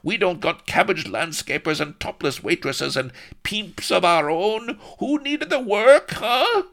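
A man's voice: mid-range voice, sing-song, takes it slowly, fairly bright tone, voice pushed up, full character voice. A man voicing a sneering, bullying Spanish corporal in broken English with a Spanish accent, for a comic story. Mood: indignant roaring. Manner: broken English, roaring and scornful.

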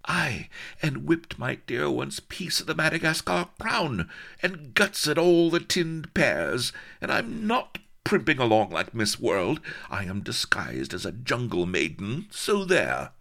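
A man's voice: medium pitch, very animated, unhurried, gravelly, a bit bright, voice pushed up, exaggerated voice. A man voicing a vain, haughty young woman in a comic adventure story, mixing mock-archaic speech with schoolgirl slang. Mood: indignant, haughty.

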